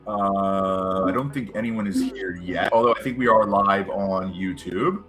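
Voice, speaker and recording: A man's voice: deep voice, measured pace, fairly bright tone, soft background music. A man hosting a casual livestream chat, joking and self-deprecating. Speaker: livestream host.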